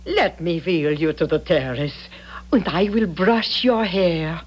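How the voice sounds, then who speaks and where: An actress playing an eager, devoted new maid in a 1970s radio drama, deferential and soothing. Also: female voice, lowish voice, expressive, slow pace.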